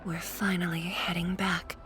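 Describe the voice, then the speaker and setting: Slow-spoken, solemn woman leader voiced by an actress. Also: lowish voice, flat delivery, over music, natural voice. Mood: solemn resolve. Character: solemn woman leader returning to her ruined homeland. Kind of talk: video game story voice acting.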